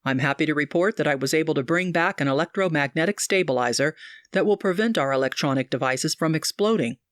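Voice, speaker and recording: A woman voicing an earnest young male doctor who speaks low, grave and pleading. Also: quick pace, no room sound, studio quality, lightly acted. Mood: calm.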